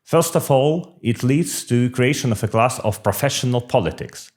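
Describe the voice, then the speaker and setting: A middle-aged man speaks English in a measured, explanatory way, pausing on frequent fillers as he answers interview questions. His voice sits at an ordinary middle pitch for a man. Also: animated, a little mellow. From Russia. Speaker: political candidate. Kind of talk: podcast interview.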